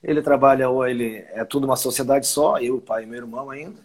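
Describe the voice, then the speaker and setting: A husky-voiced man, talking casually as an interview guest. He rambles, restarts his phrases and chuckles as he goes. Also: mid-range voice, steady tone, fast talker. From southern Brazil. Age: 50s. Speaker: winery owner, guest.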